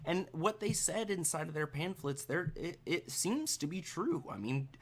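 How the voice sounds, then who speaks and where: Halting man narrating as game master. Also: on the high side, very animated. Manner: hesitant, halting, conversational. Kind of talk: improvised tabletop game narration.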